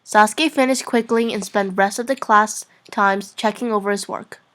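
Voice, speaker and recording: A woman's voice: medium pitch, fairly even intonation, moderate pace, a bit bright. A woman narrating fan fiction in an informal, amateur reading-aloud style. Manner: giggly, informal.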